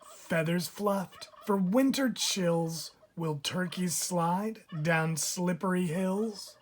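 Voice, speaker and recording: A woman reading a rhyming children's picture book aloud with measured, expressive phrasing for young listeners. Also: on the low side. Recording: clear background noise, bird calls. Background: turkeys gobbling and clicks.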